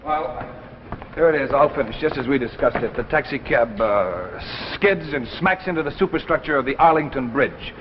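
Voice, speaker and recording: An actor playing an anxious, hurried writer in a 1950s drama, with a nervous, halting delivery. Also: male voice, mid-range voice, expressive, a little hiss or hum, lightly acted. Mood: breezy. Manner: nervous, stammering. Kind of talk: 1950s anthology drama acting. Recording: dull old 1950s broadcast with music.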